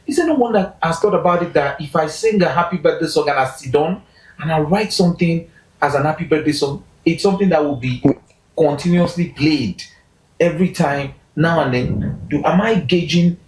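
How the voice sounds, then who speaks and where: A man with a somewhat high voice, speaking as an interview guest in a roomy, echoey space. He talks in an animated way, jumping up in pitch to stress points and often restarting his sentences. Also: normal speed. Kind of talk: casual interview talk. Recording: roomy echo.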